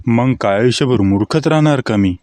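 A man's voice: mid-range voice, moderate pace, a little mellow, no room sound, lightly acted. A man playing a cheeky husband in a cartoon comedy skit.

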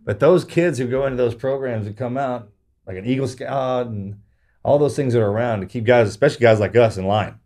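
Middle-aged male podcast host, quick delivery, casual and slangy, prone to jump in. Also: mid-range voice, a little mellow. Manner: slangy, banter, interjecting. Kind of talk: casual chat. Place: small indoor podcast studio. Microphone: studio mic on boom arm.

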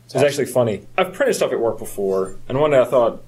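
Male co-host, fast conversational delivery in an average register. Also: mid-range voice, very animated, darkish tone, breathy edge, rough recording. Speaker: podcast co-host. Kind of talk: casual chat.

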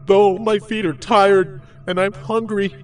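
A man's voice: high voice, little pitch movement, unhurried, voice pushed up. A man voicing a weary, complaining boy adventurer in a storybook. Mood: grumpy.

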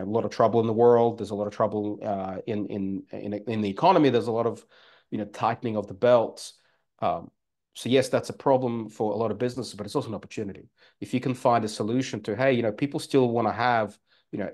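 Guest: fortyish man, Australian accent, lowish voice, halting and filler-heavy, webcam from home. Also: steady tone, a little mellow. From former Soviet Union, raised in Australia. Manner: rambling with many fillers and restarts. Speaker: guest entrepreneur. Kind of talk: casual interview talk. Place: home room by a fireplace. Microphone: video call webcam.

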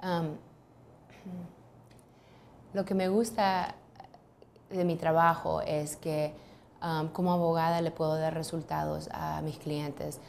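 A woman's voice: low voice, flat delivery, slow, creaky, long pauses, darkish tone, slight background noise. A woman in her thirties, a lawyer, answering interview questions in an office, hesitant with many ums and restarts. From United States. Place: office, seated at a desk.

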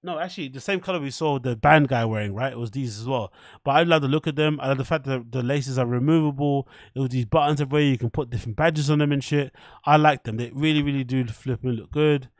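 A man with a mid-pitched voice talks into a close microphone in a dry room. He sounds like a livestream host giving casual commentary. His talk is opinionated, full of slang and freely sprinkled with swearing. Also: quick pace, no room sound. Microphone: close desk microphone.

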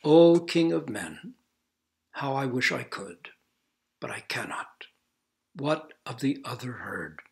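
Wise deer king in a storybook, hushed and slow, played by a male narrator. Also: a little high, sing-song, voice pushed up, exaggerated voice. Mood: pleading. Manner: soft, hushed, often whispered.

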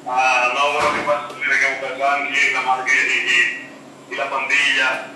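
A man's voice: mid-range voice, completely flat delivery, unhurried, recorded from a distance. A young man on a phone call, giving short, hesitant, guarded answers. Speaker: prank call victim, singer. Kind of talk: phone conversation. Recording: phone speaker in an echoey room, noisy.

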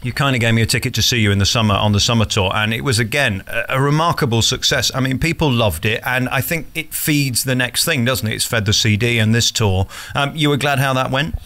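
A male radio interviewer with a bright, forceful voice and a rapid delivery. He is cheeky and teasing, piling on compliments as he chats. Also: mid-range voice. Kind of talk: jokey celebrity interview chat.

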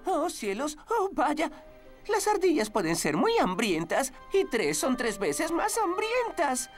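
A anxious, fretful girl in a children's cartoon. Her high voice moves in lively swings, over clear background music. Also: takes it slowly, heavily acted. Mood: worried. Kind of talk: Spanish-dubbed children's cartoon acting.

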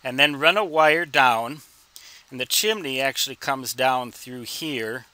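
A man explaining things as an instructor in an informal tutorial, with deliberate phrasing and wry, folksy humor. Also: mid-range voice, bright, forceful tone, no room sound. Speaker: instructor answering reader questions.

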